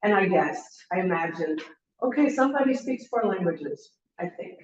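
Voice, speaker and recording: A woman's voice: medium pitch, animated, moderate pace, slight background noise. A middle-aged woman leading a language teacher training, friendly and encouraging, putting questions to the group. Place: classroom. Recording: roomy, distant, slightly dull.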